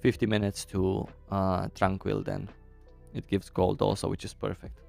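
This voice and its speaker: A man's voice: sits low, monotone, normal speed, creaky, darkish tone, soft background music. A young man with a Romanian accent chatting casually with viewers on a live game stream, thinking aloud as he answers chat.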